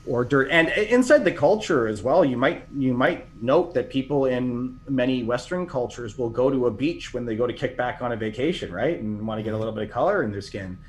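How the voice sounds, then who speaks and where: A man's voice: medium pitch, lively intonation, a little fast, hardly any pauses. Rambling middle-aged man telling stories. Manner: rambling, many restarts and fillers. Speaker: podcast guest. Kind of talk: casual interview chat.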